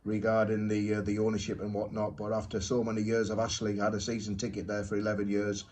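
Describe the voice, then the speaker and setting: A man chatting as an amateur radio operator, speaking in short, halting pieces. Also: lowish voice, completely flat delivery, darkish tone. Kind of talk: unscripted ham radio contact chat.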